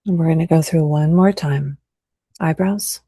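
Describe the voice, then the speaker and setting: Low, mellow-voiced woman guiding a session. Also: moderate pace, breathy edge, no room sound, clean studio sound. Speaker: EFT tapping practitioner. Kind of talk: guided tapping session, then explaining.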